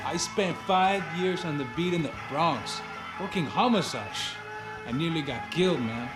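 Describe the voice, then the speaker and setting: A streetwise ex-cop bodyguard played by a man with a high, swooping voice and a brash, chummy, persuasive manner. It is video game cutscene acting with music behind it. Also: animated, moderate pace, lightly acted. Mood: easygoing, persuasive. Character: streetwise, affable male ex-cop turned private bodyguard.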